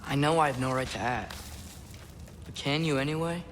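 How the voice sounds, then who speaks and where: A man's voice: medium pitch, moderate pace, fairly bright tone, a little hiss or hum, close to an everyday voice. A young man playing an earnest, secretive teenage boy in a video game, casual and a little hesitant. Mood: defensive, pleading. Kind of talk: naturalistic video game cutscene acting.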